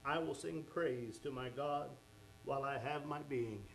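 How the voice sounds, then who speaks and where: A man's voice: medium pitch, unhurried, gravelly, a little hiss or hum, low-quality recording. A man preaching a sermon, fervent and exclaiming "oh my" and "amen" as he goes.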